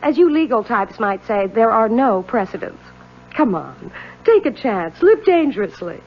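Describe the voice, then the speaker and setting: Coquettish rich young daughter, high lilting voice, teasing, bold, old radio play. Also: female voice, very animated, normal speed. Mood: teasing, coaxing. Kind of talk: 1970s radio drama acting. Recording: dull, faintly noisy 1970s radio recording.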